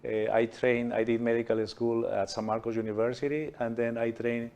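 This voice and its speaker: A man from Peru with a Peruvian Spanish accent, a cardiologist guest in a studio interview, who speaks haltingly with many uhs. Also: medium pitch, level tone, vocal fry, darkish tone, dull audio.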